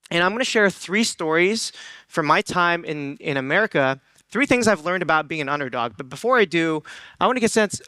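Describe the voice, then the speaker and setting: A young man from Hong Kong speaks earnestly to a conference audience, often pausing with ums and restarting phrases as he asks the room for raised hands. His voice is bright and crisp, a little high for a man. The sound is close and dry. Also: no room sound. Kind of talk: motivational stage talk.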